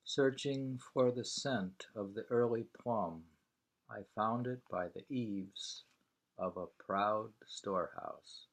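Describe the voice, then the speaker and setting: A man reading poetry and travel prose aloud informally, in a halting, deliberate way. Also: fairly low pitch. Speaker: reader of a poetry travel sketch.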